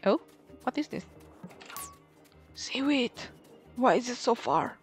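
A woman's voice: a little high, animated, takes it slowly. A woman giving casual gameplay commentary, musing to herself and exclaiming at what she finds. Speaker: gaming streamer. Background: soft game music.

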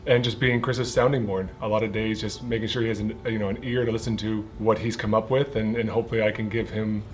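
A man's voice: lowish voice, little pitch movement, fast. A man in his thirties with a Canadian accent, talking casually as a co-founder in a documentary interview. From Winchester, Ontario, Canada. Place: small gym, indoors.

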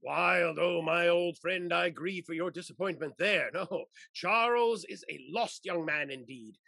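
Effusive old gentleman played by a man, creaky, bright forceful edge, stubborn, period comedy. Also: high-pitched, unhurried, dry recording, full character voice. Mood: insistent. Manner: effusive, exclamatory. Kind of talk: theatrical period comedy acting over video call. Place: indoors, on a video call.

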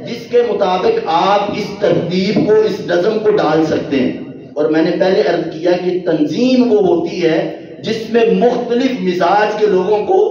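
A man's voice: very high-pitched, a little mellow. A young man from Pakistan speaks to a convention audience, explaining his points through repeated parallel phrases and moving his hands as he talks, into a stand mic at a podium. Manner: gesturing with both hands while speaking. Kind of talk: lecture to an audience. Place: indoor convention hall with banner behind. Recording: very echoey hall, distant lo-fi mic.

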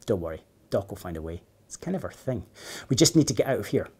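A man's voice: on the low side, big pitch swings, fast talker, darkish tone, slightly rough, pitched below the performer's own voice, exaggerated voice. A man voicing an affable, wry male companion, casual and matter-of-fact, in a children's storybook.

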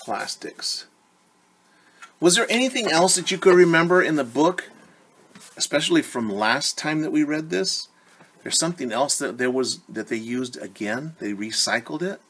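A man's voice: fairly high pitch, lively intonation, moderate pace, fairly bright tone, slightly rough. A man in his forties reading a storybook to young children as their teacher, talking directly to them and repeating key words. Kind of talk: story time read-aloud for young children. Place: living room at home, indoors.

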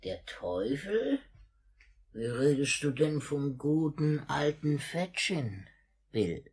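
A sly old villain in a vintage radio crime drama. His voice is very husky and creaky, swooping up and down as he wheedles and insinuates with mock friendliness. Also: a little high, very animated, slow, a little mellow, exaggerated voice. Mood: wheedling. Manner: insinuating, cackling, repeats himself. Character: sly wheedling old male criminal fence, mockingly friendly. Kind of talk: 1950s German radio play acting.

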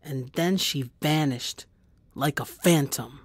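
A woman's voice: low-pitched, takes it slowly, breathy edge, dry recording, full character voice. A woman acting in an audio drama as a nerdy, knowing young boy who loves comics, casual and playful.

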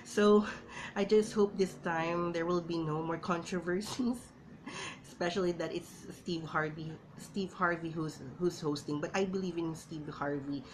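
A woman's voice: lowish voice, steady tone, unhurried, background noise. Chatty Filipino woman vlogging to camera. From Philippines. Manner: chatty, keeps calling viewers "guys". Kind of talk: casual vlog commentary. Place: small indoor room at home.

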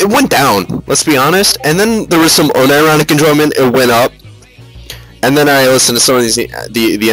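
A man's voice: lowish voice, a bit bright, low-quality recording. Rambling, foul-mouthed man with music behind. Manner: rambling, halting, profane, mock-serious. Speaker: reviewer, video host. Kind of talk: casual comedic slideshow commentary.

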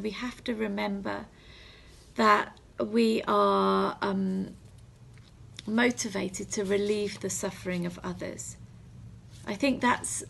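A woman in her thirties with a husky, breathy voice, giving a gentle, reflective assembly talk as a reverend, measured and halting with pauses. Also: mid-range voice, a little hiss or hum. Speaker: reverend leading a school assembly. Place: small room at home. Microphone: phone or webcam at arm's length.

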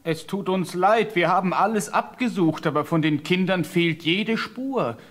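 An obedient, apologetic servant in a fairy-tale audio play, speaking in a moderately high male voice. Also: unhurried.

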